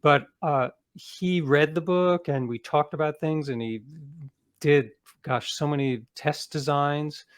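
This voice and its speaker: A man's voice: on the high side, takes it slowly. A man speaking casually as an author being interviewed.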